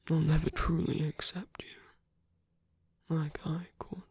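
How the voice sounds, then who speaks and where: Slow, husky, breathy seductive villain, played by a man. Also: fairly high pitch, little pitch movement, a little mellow, dry recording, exaggerated voice. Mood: seductive, persuasive. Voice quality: breathy, husky, often near whisper. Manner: slow, deliberate, intimate, teasing. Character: seductive, contemptuous villain, suave male romantic rival. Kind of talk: ASMR romance roleplay.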